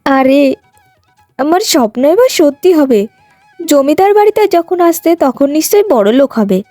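A woman's voice: sits high, big pitch swings, moderate pace, warm tone, no echo, faint music behind. A woman voicing a vain, haughty, petulant young village woman in a cartoon moral tale. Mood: dreamy, smug. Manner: boastful, petulant, complaining.

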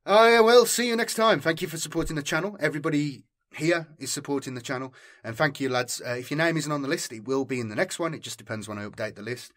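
A man's voice: a little high, quick pace, few pauses, fairly bright tone. A man hosting a channel, chatting casually and unscripted to his viewers in a rambling, informal way. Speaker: channel host.

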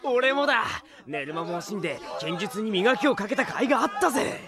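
An actor playing a snide, gossipy young knight candidate in an anime audio drama. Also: male voice, high voice, very animated, quick pace, a loud crowd. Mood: elated. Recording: loud music behind.

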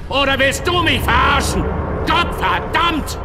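An actor playing a foul-mouthed, hot-tempered crime boss in a video game cutscene. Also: male voice, very high-pitched, animated, a little slow, heavy background noise. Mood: furious. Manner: loud, cursing, volatile.

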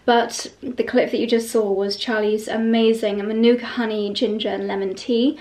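A woman in her thirties, vlogging to a close camera in a bright bedroom, chatty and gushing, a little stuffy from a cold. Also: fairly high pitch, steady tone, normal speed. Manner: gushing and chatty, with light laughs. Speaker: lifestyle vlogger. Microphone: camera held close.

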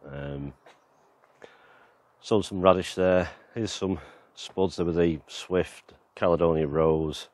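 A man's voice: deep voice, steady tone, slow pace, darkish tone, no echo. A man giving a casual, rambling tour of his allotment and back garden outdoors, with lots of fillers, filmed on a handheld camera. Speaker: gardening vlogger.

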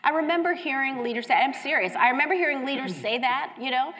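A woman's voice: high voice, expressive, fast, fairly bright tone. Stuffy-nosed chatty woman panelist. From Chicago. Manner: chatty, informal, many fillers. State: stuffy from a cold. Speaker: county arts agency director, panelist. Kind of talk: casual panel talk. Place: large theater stage.

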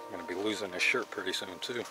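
Man, middle-aged, deep voice, outdoors, action camera, with music, enthusiastic hiking chat. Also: fast talker, low-quality recording. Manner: enthusiastic, musing aloud while walking. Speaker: hiking vlogger. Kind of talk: casual hiking narration. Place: outdoors on wooded mountain trails.

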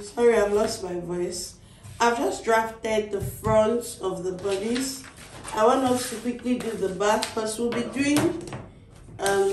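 A woman's voice: fairly high pitch, a little hiss or hum. A woman in her thirties with a Nigerian accent, giving a chatty sewing tutorial and often tagging her sentences with "okay?". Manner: lively, animated, tags phrases with "okay?". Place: small indoor sewing studio. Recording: roomy, slightly distant.

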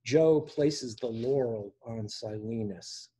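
A man in his sixties reading poetry aloud in a measured way, pausing between phrases, recorded at home on a video call. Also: mid-range voice, dark tone, slightly rough. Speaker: poet reading own work. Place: indoor room at home. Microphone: device mic on a video call.

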